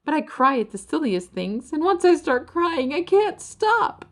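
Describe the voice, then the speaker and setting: Lady doing a weepy hyena ant guy from a kids storybook, high wailing voice, super swoopy, always upset. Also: big pitch swings, a little slow. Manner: wailing, sobbing, repetitive. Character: weepy hyena-ant creature, male, fretful and easily upset. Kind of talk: children's storybook character voice.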